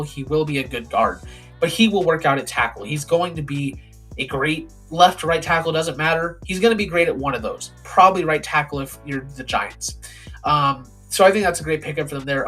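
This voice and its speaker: A young man giving casual, opinionated football draft commentary, his voice hoarse and raspy. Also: fairly high pitch, a bit bright. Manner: opinionated, plain-spoken with frequent ums.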